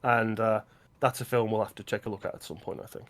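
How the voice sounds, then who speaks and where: A man's voice: lowish voice, steady tone, quick pace, fairly bright tone. Enthusiastic man recommending films. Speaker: film podcast co-host. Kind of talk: casual film discussion.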